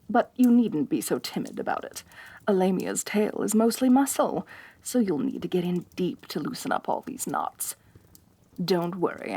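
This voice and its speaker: A woman's voice: mid-range voice, darkish tone, husky, dry recording, exaggerated voice. Haughty lamia mistress, played by a woman, close and dry. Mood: condescending, soothed. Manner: haughty, domineering, talks down to the listener. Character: haughty rich female lamia, bossy mistress, slightly tsundere. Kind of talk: roleplay ASMR acting.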